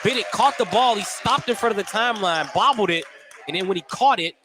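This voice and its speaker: A man's voice: sits high, expressive, fairly quick, a crowd in the background. A man calling live basketball play-by-play as a sports announcer from a gym. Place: indoor school basketball gym. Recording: noisy gym background.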